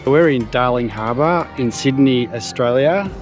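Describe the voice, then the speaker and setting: A man's voice: mid-range voice, a little slow. A man hosting a travel vlog, presenting on location and narrating with an upbeat delivery. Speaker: host. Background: background music.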